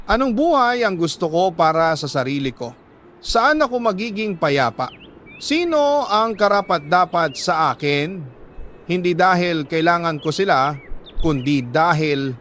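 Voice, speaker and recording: A man with a Filipino accent reads a letter as a storytelling host, earnest and sentimental, pausing deliberately between phrases. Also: high voice, a bit bright, a little hiss or hum. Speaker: radio storytelling host reading a listener's letter. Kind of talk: dramatic first-person letter narration.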